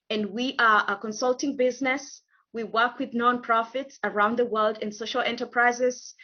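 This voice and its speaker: A woman from Kenya, a guest introducing herself and her consulting work, speaking in measured phrases with frequent filler pauses. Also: fairly high pitch, pushed and bright, highs cut off. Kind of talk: interview self-introduction. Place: home in England over video call.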